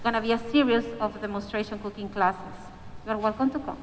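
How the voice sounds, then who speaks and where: A woman's voice: mid-range voice, steady tone, brisk. A woman from Peru with a Peruvian Spanish accent, describing community programs at a panel in an enthusiastic, rambling way. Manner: enthusiastic, rambling, laughing between points. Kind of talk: panel remarks. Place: museum event hall. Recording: echoey hall with faint noise.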